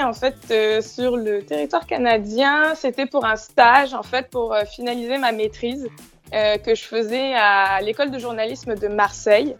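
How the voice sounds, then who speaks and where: Young woman, southern French accent with faint Quebec traces, fairly high voice, hesitant casual interview answers, music behind. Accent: southern French with slight Quebec traces. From southern France. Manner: hesitant, searching for words. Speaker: interview guest.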